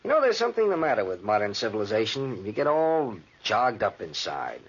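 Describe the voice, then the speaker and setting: Lively, husky outdoorsman. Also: fairly low pitch, fairly quick, full character voice. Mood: musing. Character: hearty brother-in-law, outdoorsy writer and hunting companion. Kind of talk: 1940s radio drama acting. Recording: muffled 1940s radio recording.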